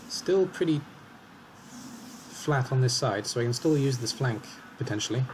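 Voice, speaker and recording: A man commentating his own match in a calm, analytical way as he talks through each move, slightly hoarse. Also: medium pitch, normal speed, a little hiss or hum. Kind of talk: casual game commentary.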